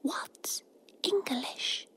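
A woman playing a tiny, curious, friendly spider in a children's audio drama, with a whispery, breathy, wide-eyed delivery. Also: bright, forceful tone, no room sound. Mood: curious, amazed. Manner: slow, wide-eyed, inquisitive.

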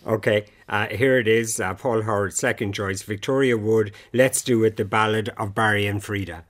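A man's voice: fairly low pitch, fairly even intonation, normal speed. A man hosting a radio interview, asking short questions and nodding along with "yeah". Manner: brief prompts, lots of "yeah". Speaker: radio host. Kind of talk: interview chat.

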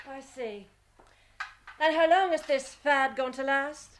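An actress playing a fussy, questioning Irish housewife in a domestic radio drama. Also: female voice, sits high, takes it slowly. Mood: sceptical. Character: fussing, suspicious Irish housewife at breakfast. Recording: noisy old radio archive recording.

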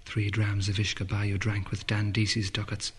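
An actor voicing a brooding young poet's murmured inner monologue, at times sinking to a whisper. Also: male voice, fairly low pitch, monotone, normal speed, husky. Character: brooding young male poet, inward and allusive.

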